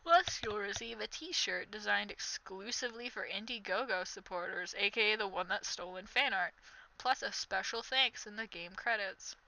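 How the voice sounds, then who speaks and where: Sarcastic, bright-voiced young woman. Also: medium pitch, normal speed, rough recording. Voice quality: nasal. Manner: mocking, exasperated, reads aloud then jabs. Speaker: commentary vlogger. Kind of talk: sarcastic reaction commentary.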